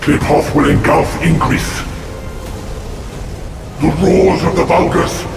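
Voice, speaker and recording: Gravelly, echoing alien villain commander. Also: male voice, a little high, steady tone, slow pace. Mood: imperious, menacing. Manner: commanding, declamatory. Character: menacing alien villain commander, imperious and grandiose. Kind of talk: video game cutscene acting. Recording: echoey, distant, under loud music.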